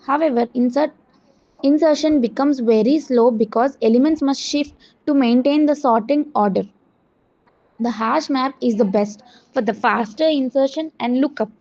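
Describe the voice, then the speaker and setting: A woman student reading out a scripted project presentation in a halting way, with stumbles and self-corrections. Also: sits high, dark tone. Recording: dull, muffled lo-fi audio.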